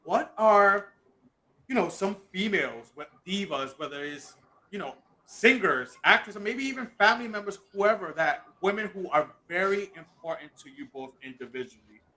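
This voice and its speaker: A man hosting a casual podcast, chatty and animated, who cuts in and then apologizes. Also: high voice, moderate pace. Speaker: podcast host. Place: city, at home.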